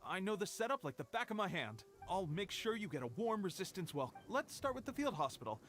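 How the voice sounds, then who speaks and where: A man voicing an eager, boastful young resistance soldier in a video game, earnest, animated and friendly. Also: high voice, a little fast, soft background music. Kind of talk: scripted game dialogue.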